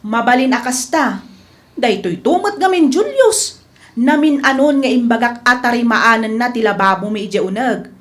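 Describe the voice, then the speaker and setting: Woman acting exasperated village housewife, high pitch, emphatic griping, radio comedy drama. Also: animated, moderate pace, close to an everyday voice.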